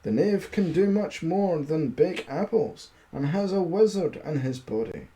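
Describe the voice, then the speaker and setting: A man's voice: a little high, lively intonation, measured pace, mellow, poor audio. A man voicing a credulous, easily frightened storybook giant, growling his archaic lines with emphasis. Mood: fearful.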